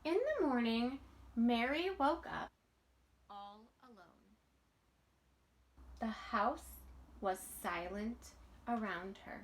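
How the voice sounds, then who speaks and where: Woman reading a kids book out loud, really slow and expressive, voice on the high side. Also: a little hiss or hum. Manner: expressive, deliberate, pausing between phrases. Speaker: teacher reading a storybook aloud. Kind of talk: children's storybook read-aloud.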